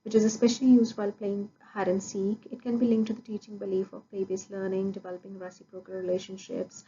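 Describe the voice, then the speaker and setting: Woman student, warm low-key tone, steady listing delivery, dull lo-fi recording. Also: quick pace. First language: Punjabi. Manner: steady, listing points with frequent "uh" fillers. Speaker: student presenting an assessment. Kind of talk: prepared academic presentation. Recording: dull lo-fi audio with faint noise.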